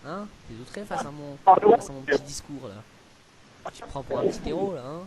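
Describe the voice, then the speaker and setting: A man's voice: a little high, expressive, normal speed, crowd noise. A young man improvising a prank call, talking in a provocative, mocking, mock-lecturing way among friends. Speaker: prank caller. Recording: noisy amateur home recording with clicks.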